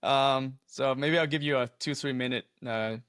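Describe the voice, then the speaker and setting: A man's voice: mid-range voice, normal speed, fairly bright tone, clean tone, no room sound. Vietnamese-born man, 30s, earnest guest on a video call, frequent fillers, dry close sound. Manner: earnest, laughs often, many fillers and restarts. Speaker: guest, insurance agency owner. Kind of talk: casual interview storytelling. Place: small home office, indoors.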